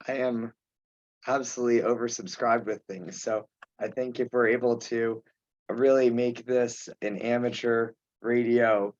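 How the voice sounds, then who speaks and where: A man chairing an informal online meeting, speaking haltingly with pauses mid-sentence. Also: mid-range voice, no room sound. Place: remote teleconference. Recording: dull, muffled conference call audio.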